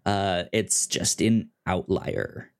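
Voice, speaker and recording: Male podcast co-host, deep-ish register, unhurried, stop-and-start delivery with repeated words, informal review chat. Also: expressive, gravelly. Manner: halting, repeats words and restarts often.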